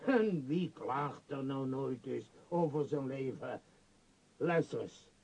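A man's voice: fairly high pitch, darkish tone, husky, heavily acted, rough recording. A male actor playing a power-hungry, grandiose tycoon in a Dutch radio play, declaiming emphatically. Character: power-hungry, grandiose tycoon obsessed with his world empire.

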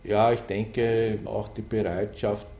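A man giving a thoughtful interview answer about literature, deliberately searching for his words. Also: lowish voice, flat delivery, gravelly, mellow. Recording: noisy ambient background.

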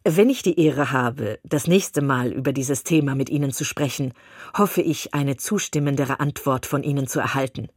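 A woman reading as a pompous male clergyman from a classic novel, long-winded and condescending. The voice sits low and slightly husky. The sound is dry and close. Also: normal speed, a little mellow, dry recording. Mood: patronizing. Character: pompous self-satisfied male clergyman suitor.

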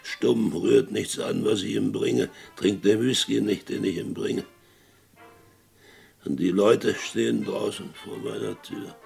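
An actor playing a broken, guilt-ridden, whisky-drinking former sheriff, telling his story slowly and bitterly in a radio play. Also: on the low side, fairly even intonation, a little mellow, soft background music, full character voice. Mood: heavy, remorseful. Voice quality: very gravelly. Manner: slow, brooding, bitter.

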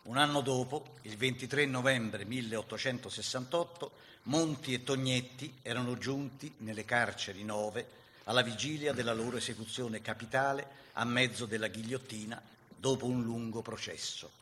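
A male narrator with a bright, forceful voice at an ordinary male pitch. He reads history for radio theatre in a formal, measured manner, with a faint noise behind him.

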